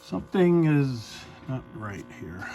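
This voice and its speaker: A man's voice: mid-range voice, very animated, slow pace, dark tone, faint music behind. A man giving casual running commentary as he works on electronics, thinking aloud in short muttered observations. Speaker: hobbyist radio restorer. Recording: noticeable electrical hum and noise.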